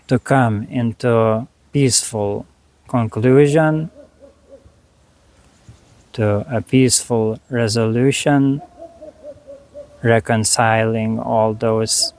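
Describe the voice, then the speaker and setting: A man leading a guided meditation, speaking to the listener in short, unhurried phrases with long pauses between them. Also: mid-range voice, a little mellow, clean tone, rough recording.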